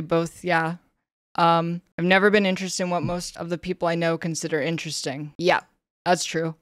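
A young Canadian woman on a casual livestream, reading questionnaire items aloud and answering in a flat, slightly monotone way, full of "like" and "um.". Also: lowish voice, no echo, studio quality. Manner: flat, slightly monotone delivery with frequent fillers. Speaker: streamer. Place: indoor room, streaming setup.

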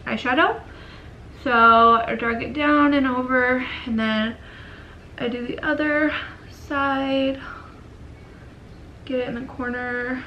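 Young woman, bright high voice, sniffly from a cold, rambling makeup tutorial, camera on desk, soft room hiss. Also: rough recording. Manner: chatty, filler-heavy, drifts into quiet murmurs while working. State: sick and sniffly. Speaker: beauty vlogger. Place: dim bedroom, indoors.

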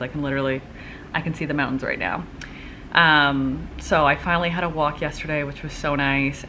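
Deep-voiced girl in her twenties, bit stuffed up, rambling happily to camera at home. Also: a bit bright, slight background noise, rough recording. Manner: chatty, upbeat, rambling with fillers. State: stuffy nose. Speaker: vlogger and entrepreneur. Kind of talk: casual vlog chat to camera. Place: living room by a window.

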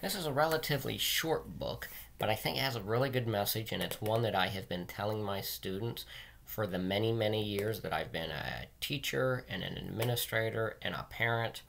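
A man's voice: on the low side, brisk, creaky, fairly bright tone, a little hiss or hum. A middle-aged man, a teacher talking to children in a plain, earnest, emphatic way, recorded on a webcam in a small room. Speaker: teacher and school administrator reading aloud to pupils. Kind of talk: read-aloud and plain advice to children. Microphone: webcam at desk distance.

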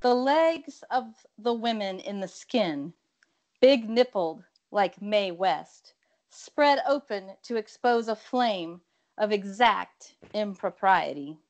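A woman's voice: mid-range voice, animated, takes it slowly. A middle-aged woman poet introducing and reading her own work over a video call. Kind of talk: casual introduction and theatrical poetry reading. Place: indoor room with bookshelves.

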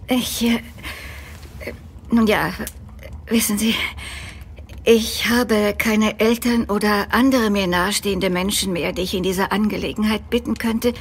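A woman's voice: mid-range voice, fairly even intonation, measured pace, pauses often, slight background noise, close to an everyday voice. A young woman playing a proper, earnest, slightly hesitant governess in a German audio drama, speaking courteously and formally.

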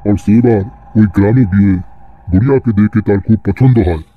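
A man voicing an old male servant, gravely telling an eerie tale in a cartoon horror story. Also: on the low side, fairly quick, narrow, tinny audio, natural voice. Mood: grave, ominous. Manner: grave, storytelling, Hindi-mixed Bengali wording.

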